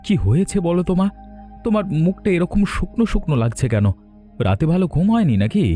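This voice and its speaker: A man plays a grown son in a horror cartoon. His soft, dark-toned voice rises and falls a lot as he reasons, soothes and gently doubts, over faint music. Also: sits high, very animated, fairly quick, pitched above the performer's own voice. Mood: concerned. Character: young adult son, concerned, reasoning, gently skeptical.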